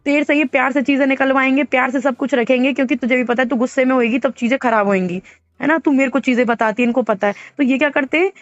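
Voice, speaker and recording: A woman's voice: high-pitched, fairly even intonation, fast, no room sound. A woman in an emotional, casual phone conversation, aggrieved and insistent, often repeating her points. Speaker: caller in a private phone conversation. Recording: dull lo-fi phone call recording, music behind.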